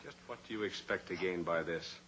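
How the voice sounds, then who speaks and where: An actor playing a steadfast, brave cowboy pilot in an old-time radio drama, firm and terse. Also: male voice, deep voice, a little fast, fairly bright tone, poor audio. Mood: controlled, steady. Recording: old radio recording with faint hiss.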